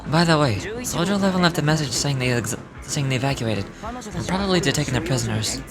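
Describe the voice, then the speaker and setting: A man's voice: mid-range voice, lively intonation, fast talker. A man reading game dialogue aloud in character, with small stumbles as he reads. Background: music.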